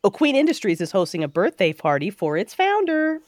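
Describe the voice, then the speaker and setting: A woman's voice: mid-range voice, sing-song, fairly quick, darkish tone, no echo, studio quality, natural voice. A woman playing a teasing, confident and bossy superhero fighter in an audio drama. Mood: affectionate teasing. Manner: teasing, assertive.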